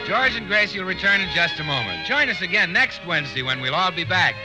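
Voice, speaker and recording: A man announcing credits and sponsors on a 1950 radio comedy in a smooth, upbeat delivery. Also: high-pitched, animated, quick pace, low-quality recording. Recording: old radio transcription with music.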